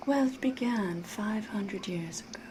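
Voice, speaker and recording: A woman acting as ancient vampire woman, ASMR roleplay, very slow, soft whispery voice, coy and ominous, noisy ambience behind. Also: mid-range voice, lightly acted. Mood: ominous storytelling. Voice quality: breathy, soft, often whispering. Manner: coy, deliberate, ominous, theatrical, drawn-out phrasing.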